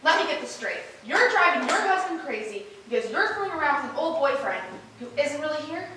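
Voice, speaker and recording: A woman rehearsing a stage comedy as a nosy, gushing, excitable onlooker, in an echoey room far from the mic. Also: high-pitched, brisk. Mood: astonished. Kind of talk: stage play rehearsal acting. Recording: distant mic, echoey room, faint noise.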